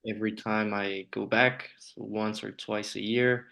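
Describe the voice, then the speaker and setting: A man's voice: lowish voice, little pitch movement, measured pace, creaky, fairly bright tone. A man from Honduras, a native Spanish speaker, answering conversationally as an interview guest. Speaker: podcast guest, nuclear trainer.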